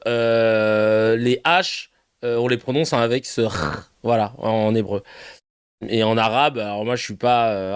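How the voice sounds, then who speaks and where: A man's voice: medium pitch, smooth, dry recording, studio quality. A man hosting a casual live show, reacting to clips with laughter, swearing and mocking asides. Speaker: streamer host reacting to clips.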